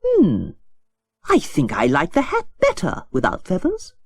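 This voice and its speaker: A woman acting excitable villagers, young and old, in an exaggerated way for a children's audiobook. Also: lowish voice, unhurried, dry recording, studio quality, exaggerated voice. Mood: fussy. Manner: exclamatory, exaggerated. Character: villagers in a children's story: excited children, fussy older ladies, brisk mother.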